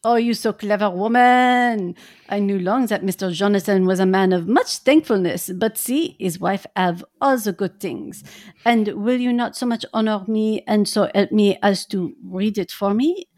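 A woman's voice: medium pitch, moderate pace, a little mellow, natural voice. A woman voicing an earnest, effusive old Dutch professor who speaks in broken, formal English. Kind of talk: reading a novel aloud in roles.

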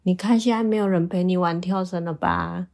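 A woman's voice: on the low side, fairly even intonation, a little fast, gravelly, darkish tone. Lady playing a smart little storybook squirrel, tells you off then explains how to fix it. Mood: scolding. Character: small clever squirrel, scolding but helpful teacher.

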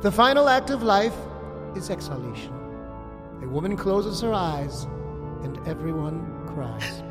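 An actor playing a solemn cult archpriest in an audio drama, delivering a mystical eulogy in a grandiose, incantatory manner. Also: male voice, fairly high pitch, very animated, unhurried. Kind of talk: ceremonial audio drama oration. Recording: long hall reverb, music underneath. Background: music.

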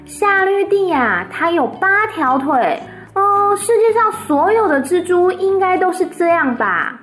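A woman's voice: very high-pitched, sing-song, measured pace, pitched above the performer's own voice, heavily acted. A woman voicing a cheerful, chatty little farm girl who talks eagerly and matter-of-factly. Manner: bright, eager, matter-of-fact.

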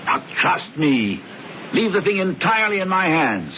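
An actor playing a confident, unflappable, scheming brother in a 1970s radio drama, talking in an assured, coaxing way. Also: male voice, sing-song, moderate pace. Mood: coolly insistent. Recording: dull radio broadcast, faint rumbling noise.

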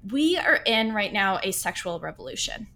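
A woman's voice: medium pitch, sing-song, normal speed, pushed and bright, faint music behind. A woman psychotherapist, a podcast guest, answering at length in an easygoing way, with frequent fillers and light laughs. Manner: expansive, laughs easily, many fillers.

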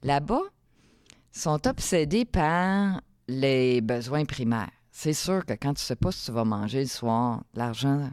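A woman's voice: very deep voice, animated, unhurried, a little mellow, clean tone, no room sound, studio quality. A middle-aged woman with a Quebec French accent, talking casually as an interview guest, thinking aloud in broken phrases. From Quebec, Canada. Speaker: podcast guest, entrepreneur.

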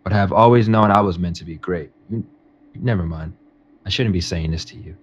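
An actor playing a confident, ambitious nineteen-year-old estate aide in a modern audio drama, speaking respectfully and earnestly. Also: male voice, low-pitched, fairly quick, dull audio. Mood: polite, guarded. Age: young adult. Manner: respectful, earnest, self-assured.

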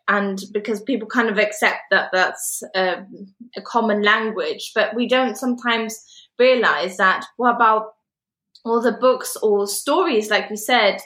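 A woman's voice: mid-range voice, fairly bright tone. Enthusiastic woman host in an echoey room. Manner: enthusiastic and encouraging, filler-heavy. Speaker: podcast host. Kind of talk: interview conversation.

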